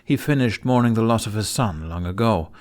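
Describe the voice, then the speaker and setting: A man's voice: lowish voice, animated, brisk, a little mellow. A man reading scripted fantasy fiction as a dramatic audiobook narrator.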